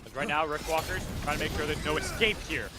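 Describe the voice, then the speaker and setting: A man giving live esports commentary as an excitable play-by-play caster. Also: fairly high pitch, fast. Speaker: esports shoutcaster. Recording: steady clear background game noise. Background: video game sounds.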